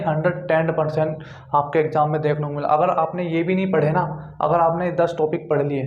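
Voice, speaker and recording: A man's voice: fairly high pitch, a little mellow. A man with a North Indian accent giving an informal exam coaching lecture, repeating points and often adding check-in tags. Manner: repetitive, with frequent check-in tags. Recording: dull, echoey room recording.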